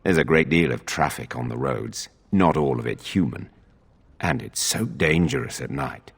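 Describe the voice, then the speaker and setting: A man's voice: extremely low pitch, lively intonation, normal speed, gravelly, slightly rough, exaggerated voice. An actor playing an arrogant, sneering nobleman in a video game, sly and drawling, in a reverberant hall. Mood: smug, mocking. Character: haughty, sneering male noble accused of murder.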